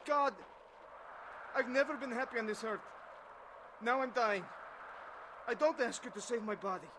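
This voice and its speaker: A man's voice: sits high, normal speed, noisy background, natural voice. An actor playing an earnest, haunted young Russian defector who tells his story in a dramatized testimony. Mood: desperate. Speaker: first-person narrator of a dramatized testimony. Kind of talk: dramatized scripted narration.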